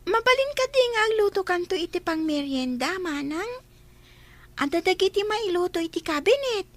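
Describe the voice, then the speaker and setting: A very high, childlike girl's voice. She is a cheerful, friendly daughter of the house in a radio drama, chatting openly and sweetly. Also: very animated, moderate pace. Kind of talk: Ilocano radio drama acting.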